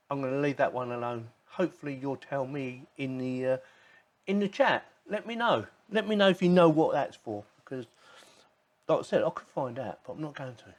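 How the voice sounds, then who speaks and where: Casual, chatty man reviewing a gadget. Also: mid-range voice. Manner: informal, chatty, thinking aloud. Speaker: tech product reviewer. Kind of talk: casual unboxing and demo commentary.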